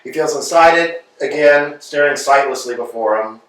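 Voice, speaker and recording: A man's voice: mid-range voice, moderate pace, a bit bright. Man reading stage directions, echoey. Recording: echoey room, distant mic.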